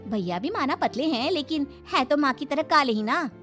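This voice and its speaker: A woman's voice: high voice, expressive, moderate pace, a bit bright, faint music behind. A woman playing a kind, outspoken young neighbour, chatty, frank and encouraging, in a cartoon story.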